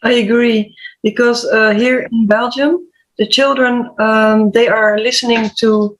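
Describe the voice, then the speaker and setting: A woman's voice: a little high, fairly even intonation, unhurried, low-quality recording. A woman from the Netherlands with a Dutch accent, speaking earnestly as a guest in an online panel discussion. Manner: earnest, with um and uh fillers.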